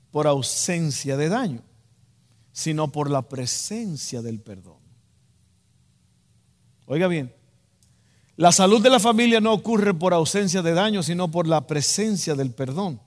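A man's voice: fairly high pitch, lively intonation, measured pace, long pauses. A man with a Dominican Spanish accent preaches a sermon, emphatic and direct, repeating key phrases for weight. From Dominican Republic. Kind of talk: sermon to a congregation. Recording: long hall reverb.

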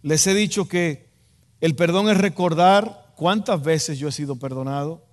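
A man's voice: on the high side, unhurried. A man with a Dominican Spanish accent preaches a sermon, emphatic and direct, repeating key phrases for weight. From Dominican Republic. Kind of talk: sermon to a congregation. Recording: long hall reverb.

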